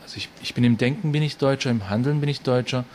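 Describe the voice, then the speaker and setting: A man's voice: medium pitch, fast, a little mellow, a little hiss or hum. A man interviewed for a documentary, speaking in a reflective way and searching for his words.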